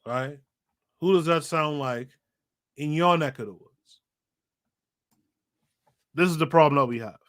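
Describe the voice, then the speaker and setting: A man's voice: fairly high pitch, measured pace, pauses often, a bit bright. A man with a slightly raised voice reads from a book on his podcast livestream. He stops often to comment, repeats key phrases for emphasis and talks straight to the people watching in the chat. His voice comes through a home streaming mic. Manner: talks to a live chat audience. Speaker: podcast host. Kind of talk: reading a book aloud with live commentary.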